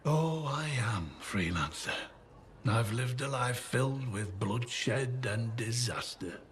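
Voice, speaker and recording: An actor playing a weathered, boastful yet haunted old survivor in a video game, with a folksy, plain-spoken manner. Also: male voice, mid-range voice, expressive, slow. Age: older. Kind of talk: video game dialogue acting. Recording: clear ambient noise behind the voice.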